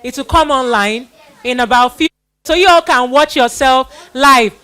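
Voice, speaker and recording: A woman with a high voice hosts a live children's church event. She speaks as a middle-aged master of ceremonies, repeating phrases as she introduces people and urges them on. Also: a little slow, pushed and bright, smooth. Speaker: host of a children's Bible play event.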